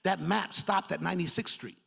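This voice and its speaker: Gravelly, slangy New moderator. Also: male voice, high-pitched, brisk, a bit bright. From Harlem, New York, USA. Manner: street slang, "yo" and "man" constantly. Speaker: moderator, marketing leader. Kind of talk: casual stage conversation. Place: stage panel before a live audience.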